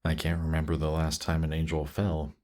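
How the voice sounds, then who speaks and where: A man playing a suave, patient, seductive demon prince in a fantasy roleplay audio drama, speaking in a teasing, coaxing, measured way. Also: extremely low pitch, monotone, a little mellow. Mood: musing, intimate. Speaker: voice actor. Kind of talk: slow, intimate roleplay audio drama performance.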